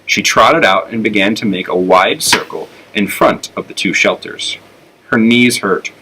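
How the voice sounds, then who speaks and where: A man's voice: on the low side, measured pace, background noise. A man with an American accent narrating a children's storybook aloud. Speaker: elementary school teacher reading aloud.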